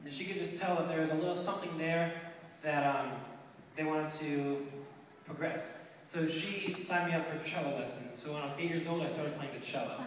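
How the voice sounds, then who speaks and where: A man's voice: fairly high pitch, recorded from a distance, a little hiss or hum. Young man of nineteen giving a heartfelt testimony with stumbles, recorded from afar in a very echoey hall. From Romania. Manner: earnest, halting, many ums and restarts. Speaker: speaker telling his adoption story. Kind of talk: testimony speech to an audience. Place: large hall with an audience.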